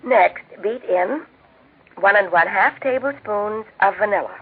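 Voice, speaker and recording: An American woman with a genteel, measured, instructive manner, speaking scripted homemaking talk as host of a 1940s radio cooking show. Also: mid-range voice, expressive, husky. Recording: tinny band-limited 1940s radio recording.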